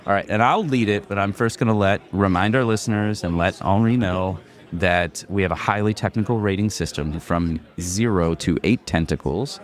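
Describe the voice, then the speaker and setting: A man with a very deep voice hosting a relaxed podcast conversation. He rambles loosely and scatters "like" through his sentences, steering the talk along. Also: normal speed. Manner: loose and rambling, lots of "like" fillers. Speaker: podcast host. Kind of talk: casual podcast chat.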